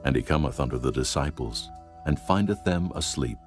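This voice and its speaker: A man narrating scripture in a steady, measured storytelling manner, with music behind. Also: a bass voice.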